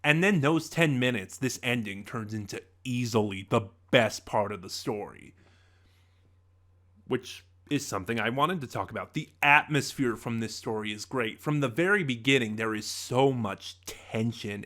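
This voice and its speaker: A man's voice: medium pitch, unhurried, a bit bright. A young man giving a casual spoken review of an audio drama, talking conversationally with fillers and restarts.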